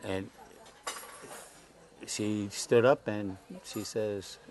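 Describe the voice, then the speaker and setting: A man in his eighties talks slowly as a talk-show guest, his voice sitting somewhat low. He halts often and repeats words while he finds his thread. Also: steady tone, a little mellow, a little hiss or hum. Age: 80s. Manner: slow, halting, repeats words while searching. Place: Saipan.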